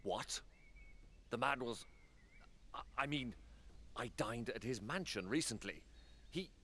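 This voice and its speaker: A man's voice: medium pitch, expressive, slow pace, sharp tone, a little hiss or hum. A man playing an earnest, harried council statesman in a fantasy game, speaking with weary urgency. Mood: puzzled, urgent. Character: earnest, harried male council statesman under political pressure.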